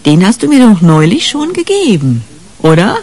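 An actress playing a brisk, scheming female investigator in a 1980s radio crime drama, commanding and sly in manner. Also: medium pitch, huge pitch swings, a little mellow. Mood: sly, pleased.

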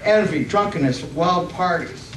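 A middle-aged man preaching and reading scripture in a halting, slightly nervous way, often correcting himself and asking for amens. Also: sits high, animated, fairly bright tone. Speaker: preacher at a church conference. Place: church hall stage, indoors. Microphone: stand microphone, picked up by far camera. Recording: roomy, distant microphone with faint noise.

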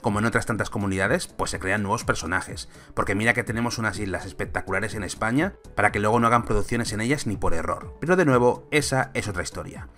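A man's voice: lowish voice, fast, few pauses, fairly bright tone, faint music behind. A man in his thirties from Spain, a film commentator giving an argumentative, sarcastic opinion vlog on a close mic.

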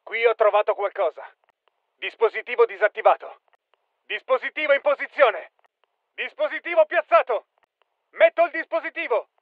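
An actor voicing a tough soldier barking clipped, commanding battlefield callouts for a military shooter game. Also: male voice, very high voice, lively intonation, normal speed, pauses often, no echo, narrow, tinny audio. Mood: focused, businesslike.

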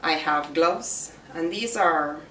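A middle-aged woman with a slightly raspy voice from a recent virus, chatting casually as she shows what she carries to work. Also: sits low, a bit bright. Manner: chatty, unhurried, explains each item. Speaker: vlogger. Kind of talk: casual show-and-tell vlog. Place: small room indoors. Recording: roomy, distant camera sound with faint hiss.

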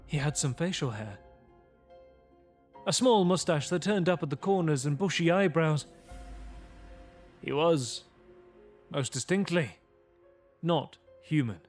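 Frightened young male survivor, played by a man, grave theatrical horror storytelling, somewhat high voice. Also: expressive, slow pace, pauses often, soft background music. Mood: grave, haunted. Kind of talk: dramatic first-person gothic horror narration.

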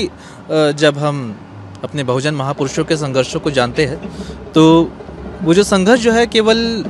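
A man in his thirties explaining himself as an interview guest, recorded outdoors on a handheld microphone. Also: on the high side. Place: outdoors on a bench in a courtyard. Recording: outdoor street noise, traffic behind.

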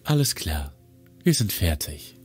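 Guy acting cool detached shrink in a radio play, low voice, brisk and clinical. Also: big pitch swings, slightly rough, faint music behind, heavily acted. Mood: blunt. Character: brisk, detached male psychiatrist, coolly clinical. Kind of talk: German audio drama acting.

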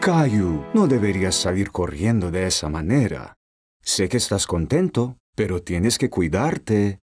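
A man voicing a patient, kindly young father in a children's cartoon, gentle and encouraging. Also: deep voice, very animated, unhurried, slightly rough, faint music behind, full character voice. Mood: gently concerned.

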